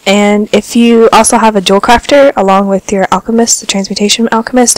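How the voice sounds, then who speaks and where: A woman talks casually over her game footage, a bit congested from a cold. Her voice sits in a mid range, and the recording is close and dry with no room sound. She rambles in a relaxed way, with plenty of ums. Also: medium pitch, no echo, low-quality recording. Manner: relaxed, chatty, filler-heavy. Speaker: gaming vlogger. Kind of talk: casual gameplay commentary.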